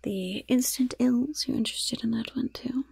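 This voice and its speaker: A woman voicing a gleeful, mischievous goblin shopkeeper in a breathy, raspy whisper, slowly and slyly savoring every curse and poison in an ASMR roleplay. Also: on the high side, a little mellow, no room sound, exaggerated voice. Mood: delighted, obliging. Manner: slow, savoring, slyly polite.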